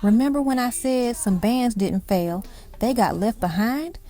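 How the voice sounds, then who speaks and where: A woman's voice: on the high side, animated, measured pace, dark tone. A woman reading scripted documentary-style narration for a music countdown, in dramatic, punchy short phrases. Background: music behind the voice.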